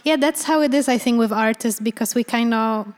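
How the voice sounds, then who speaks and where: A woman's voice: on the high side, fairly quick, a little mellow, in a large hall. A woman interviewing an artist, curious and encouraging, asking open questions. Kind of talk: conversational interview.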